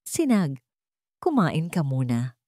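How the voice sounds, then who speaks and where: A woman's voice: sits low, very animated, slow, dark tone, dry recording, clean studio sound, heavily acted. Woman voicing a grave, motherly elderly housemaid. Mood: concerned. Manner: grave, caring.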